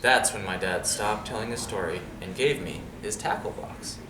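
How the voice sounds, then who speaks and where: A man reading a storybook aloud to young listeners in an expressive, dramatic way. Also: lowish voice, moderate pace. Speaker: storybook reader. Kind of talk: animated read-aloud story with asides to children. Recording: roomy, distant mic, noisy background.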